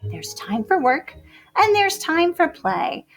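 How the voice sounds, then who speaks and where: A woman reading rhyming picture book poems aloud to children in a theatrical way, moving between hushed whispers and loud exclamations. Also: extremely high pitch, sing-song, slow pace, faint music behind. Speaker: children's storybook reader.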